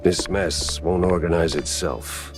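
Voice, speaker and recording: An actor playing a wry, world-weary mouse private detective in a noir video game, with deadpan hard-boiled delivery. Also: male voice, deep voice, unhurried. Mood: dry, wry. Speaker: detective narrator and lead character. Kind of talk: film noir game dialogue and narration.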